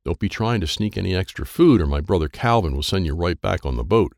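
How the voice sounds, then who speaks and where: A man voicing a big, gruff, bossy grown man who barks orders in a children's story. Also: low voice, animated, fast, a little mellow, no echo, clean studio sound.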